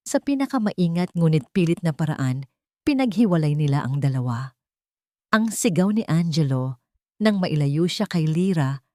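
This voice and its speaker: A woman's voice: sits low, a little mellow, no echo, clean studio sound. A woman narrator reading a scripted melodramatic story in a solemn, dramatic manner.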